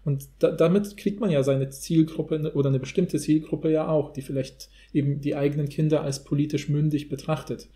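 Male podcast discussant, mellow and slightly high, wordy academic phrasing, informal delivery. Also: steady tone, a little fast, hardly any pauses. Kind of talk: casual analytical discussion.

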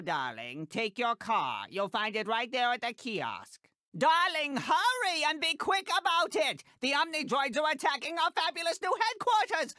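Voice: mid-range voice, bright, forceful tone, no room sound, studio quality. A performer voicing a tiny, imperious older woman fashion designer in a video game, theatrical and bossy, calling everyone darling. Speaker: mission giver.

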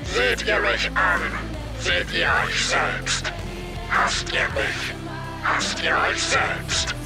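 An actor playing a cold, taunting masked male blackmailer in an audio drama, theatrical and threatening, with a breathy hiss. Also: high-pitched, animated, very slow, heavily acted. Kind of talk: audio drama villain speech. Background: loud music.

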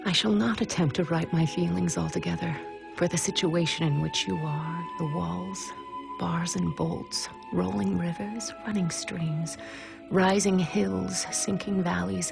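An actress reading an old letter as a sorrowing, steadfast 19th-century wife, formal, measured and grave, for a documentary. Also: female voice, on the low side, vocal fry.

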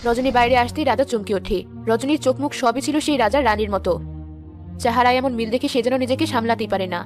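A woman narrating a children's cartoon fairy tale in a plain, steady way. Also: a little high, fast talker. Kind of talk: storybook narration. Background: background music.

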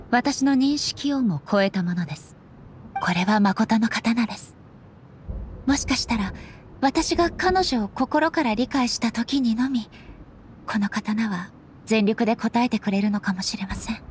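A woman playing a stern, solemn immortal warrior goddess in a game, speaking formally and with measured deliberation. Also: on the high side, slight background noise, lightly acted. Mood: reflective. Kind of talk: dramatic game voice acting. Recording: background music under the voice.

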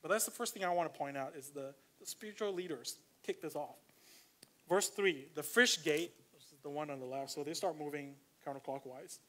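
A man's voice: fairly high pitch, bright, forceful tone. A man with a Malaysian accent preaching a casual, conversational sermon in a church, reading scripture aloud with frequent fillers. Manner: conversational, filler-heavy, slows down to stress points. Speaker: pastor.

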